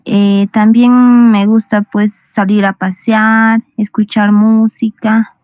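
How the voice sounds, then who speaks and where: A soft-spoken woman student answering her teacher in an online class, pausing often. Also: mid-range voice, flat delivery, clean tone, no room sound. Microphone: video call. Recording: muffled video call audio.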